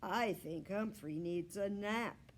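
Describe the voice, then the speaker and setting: A woman's voice: mid-range voice, slow pace, a little hiss or hum, natural voice. Woman reading as a cheerful, encouraging young man. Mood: friendly. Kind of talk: children's storybook read-aloud.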